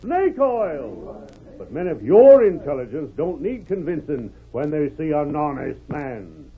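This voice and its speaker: An actor playing a sly, folksy medicine-show huckster with bombastic, drawling patter in an old radio western. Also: male voice, on the high side, sing-song, unhurried. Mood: bombastic pitch. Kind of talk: 1940s radio western acting. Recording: old tinny narrow-band radio recording.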